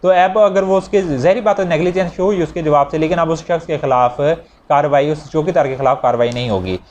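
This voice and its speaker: A man's voice: a little high, quick pace, darkish tone. A man with a Pakistani accent giving an explanatory law lecture in a conversational, repetitive way, mixing in English legal terms. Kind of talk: explanatory lecture with examples, alternating with reading statute text aloud. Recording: noisy recording with background ambience.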